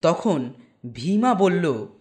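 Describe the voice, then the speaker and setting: A man narrating a scripted horror story as storyteller, with deliberate phrasing. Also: high voice, very animated, a little mellow. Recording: music bed behind voice.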